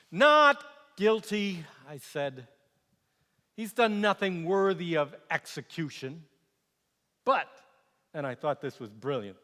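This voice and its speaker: Sardonic Roman governor for stage monologue, male actor: high-pitched, forceful, scornful and exasperated, theatrical delivery. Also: heavily acted. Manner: animated, scornful, exasperated, swinging from quiet asides to outbursts. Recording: echoey hall with long reverb.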